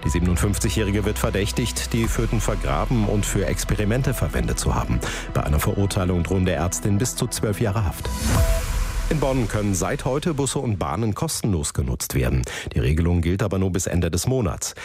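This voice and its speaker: A man's voice: low-pitched, lively intonation, brisk. A man with a standard German accent reading scripted radio news as the presenter. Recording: music bed behind the voice. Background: music.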